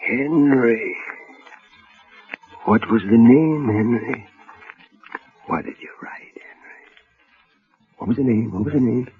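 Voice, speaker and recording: A man's voice: mid-range voice, slow, long pauses, music in the background, natural voice. An actor playing a sly, prying cellmate in a radio suspense drama, insinuating and folksy in his speech. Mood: insinuating whisper. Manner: colloquial, insinuating. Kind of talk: old-time radio suspense acting. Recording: muffled vintage radio recording.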